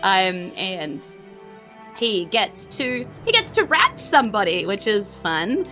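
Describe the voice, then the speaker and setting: A woman's voice: mid-range voice, sing-song, takes it slowly, pushed and bright, soft background music. A woman with a New Zealand accent, casually narrating a tabletop game as game master in a playful, teasing way.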